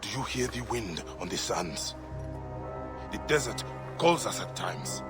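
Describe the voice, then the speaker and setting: Low male voice, brooding Egyptian warrior, solemn and weary, video game drama, loud music behind. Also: sing-song, a little slow. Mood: solemn, inviting.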